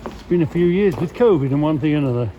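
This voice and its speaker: A man's voice: a little high, lively intonation, quick pace, dull audio, a little hiss or hum. A man chatting casually as a vlogger, rambling, repeating himself and putting questions to the listener. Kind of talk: casual chatty vlog commentary. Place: out on a city street and inside a busy theatre auditorium. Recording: background music and crowd chatter in public spaces.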